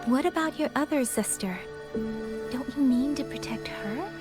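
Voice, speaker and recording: A woman's voice: high voice, a little slow, over loud music. An actress playing a composed, resolute young female soldier in a game, earnest, polite and firm.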